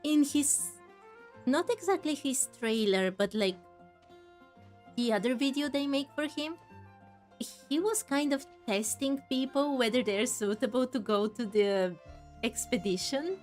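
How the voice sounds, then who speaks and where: A woman's voice: high voice, measured pace, a little mellow. A woman giving casual commentary as she plays through a game, chatty and musing aloud, with game music behind. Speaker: gaming streamer. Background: faint game music.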